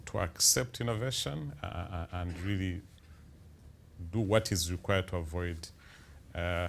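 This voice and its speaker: A man with a very low, deep voice speaks on a conference panel as a central bank governor. He talks haltingly, repeating words and filling pauses. A faint hum of room ambience sits behind him. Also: gravelly. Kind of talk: panel discussion.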